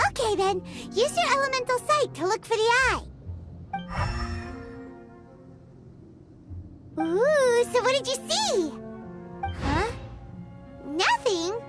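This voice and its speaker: An actress voicing a tiny, excitable female companion who talks about herself in the third person, in a video game cutscene. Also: unhurried, exaggerated voice. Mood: eager. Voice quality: high squeaky childlike voice. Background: game music.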